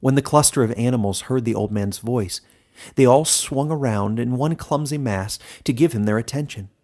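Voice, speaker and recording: A man reading scripted fantasy narration as a storyteller, deliberate and expressive. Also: mid-range voice.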